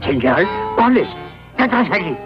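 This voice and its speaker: A prying, theatrical cartoon busybody. The voice is extremely high and swoops around. It wheedles comically over a muffled old soundtrack with loud music. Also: male voice, sing-song, measured pace. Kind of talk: animated film voice acting.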